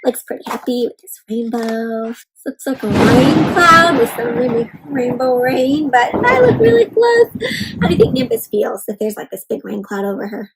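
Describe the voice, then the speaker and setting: A woman doing an interactive children's read-aloud, expressive and full of exclamations, often putting questions to young listeners. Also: on the high side, normal speed, over loud music, low-quality recording. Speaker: host reading a picture book aloud to children.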